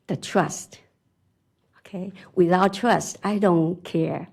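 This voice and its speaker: A middle-aged woman with a strong Chinese accent giving an animated conference talk, often laughing and asking "right?". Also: on the low side, vocal fry. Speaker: conference speaker. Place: conference hall.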